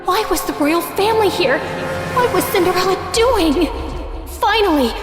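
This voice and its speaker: A woman's voice: very high voice, lively intonation, normal speed. A woman playing a passionate, wronged young stepsister, furious and heartbroken, telling her story dramatically. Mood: frantic. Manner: intense, emotional delivery. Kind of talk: dramatic first-person story narration. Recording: reverberant voice over prominent music.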